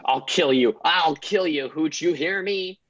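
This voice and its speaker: An actor playing an uptight, exasperated male police officer in a parody radio play, flustered and deadpan. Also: a little high, unhurried, muffled recording.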